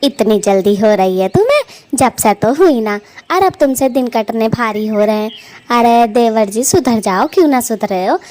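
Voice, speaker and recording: Woman voicing female cartoon bird, young, highish voice, chatty, affectionate, expressive family-story delivery. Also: moderate pace, lightly acted. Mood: teasing.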